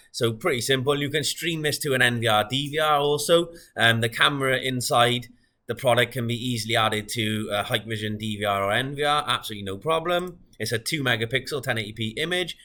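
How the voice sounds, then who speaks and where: A man walks through a product step by step. He speaks at a medium pitch in an informal, matter-of-fact manner, explaining things plainly as a demonstrator would. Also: pushed and bright.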